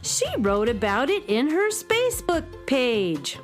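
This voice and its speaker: Eager student fan in children's radio play: high, unhurried, highly animated, emphatic explanations. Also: female voice, soft background music. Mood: admiring. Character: eager young music student, knowing adoring fan. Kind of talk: children's radio drama acting.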